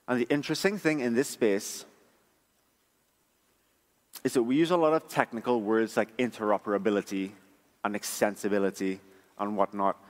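A man delivers a conference talk. He explains things in a measured, conversational way. Long reverb from a large hall trails his words. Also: on the low side, fairly even intonation. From Trinidad. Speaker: conference speaker, front-end engineer.

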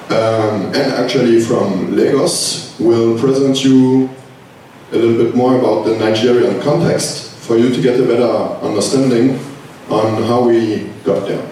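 Male event host, unhurried, mid-pitched; distant, boomy hall recording. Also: warm tone, a little hiss or hum. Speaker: event organizer, conference host. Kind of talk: conference introduction. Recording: echoey hall, speaker far from mic.

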